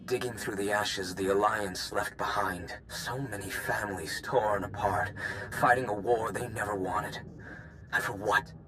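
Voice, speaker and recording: Brooding, embittered guardsman, sharp low voice. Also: steady tone, a little slow, a little hiss or hum, low-quality recording. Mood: bitter, grieving. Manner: solemn, brooding, intense. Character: embittered male ex-guard, grieving zealot vowing revenge. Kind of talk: dramatic video game cutscene acting.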